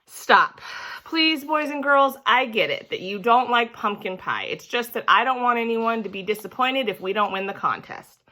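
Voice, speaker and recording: A woman voicing a weary, patient male schoolteacher in a storybook, measured, stern but kindly and gently exasperated. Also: fairly high pitch, lively intonation.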